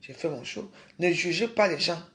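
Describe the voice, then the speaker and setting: A woman's voice: on the low side, normal speed. A woman hosting a casual livestream, talking emphatically to her viewers, repeating herself and scolding them. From Cameroon. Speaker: livestream host.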